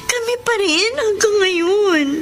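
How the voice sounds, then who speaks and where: A woman's voice: extremely high pitch, slow pace, a bit bright, heavily acted. A woman acting a heartbroken young student who clings to her boyfriend, plaintive and pleading, in a Filipino radio drama. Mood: troubled, defensive.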